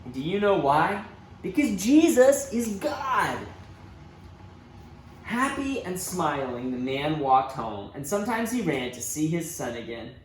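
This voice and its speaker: Very animated man reading a children's story. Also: fairly high pitch, unhurried. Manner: expressive, dramatic emphasis with asides to young listeners. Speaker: church children's storybook reader. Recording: roomy echo, faint background noise.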